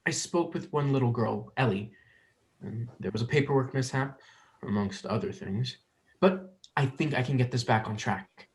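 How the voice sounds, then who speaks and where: An earnest, insistent college researcher, acted by a young performer in a drama reading. The mid-pitched voice sits between male and female and sounds defensive. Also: normal speed, natural voice. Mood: earnest pleading.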